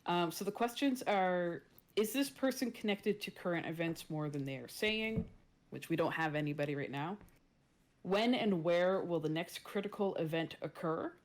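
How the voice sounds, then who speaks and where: Lower-pitched woman chatting at a game table. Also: normal speed. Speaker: player in a tabletop roleplaying stream. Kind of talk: casual chat.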